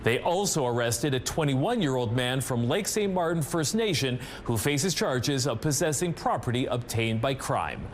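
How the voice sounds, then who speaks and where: A man's voice: mid-range voice, a little hiss or hum. A man with a Canadian accent reading scripted news as a television anchor. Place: TV news studio.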